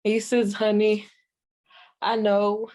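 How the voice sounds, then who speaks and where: A woman playing a sassy old 1940s radio comic, telling stand-up stories in a folksy, deadpan way with drawn-out pauses. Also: mid-range voice, voice pushed up, lightly acted. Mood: wry storytelling. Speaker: comedian performing a monologue.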